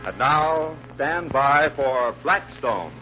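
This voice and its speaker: A man's voice: medium pitch, lively intonation, takes it slowly. Male vintage announcer; dramatic show-opening delivery, muffled radio sound, blaring music behind. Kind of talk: 1940s dramatic show introduction. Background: loud music.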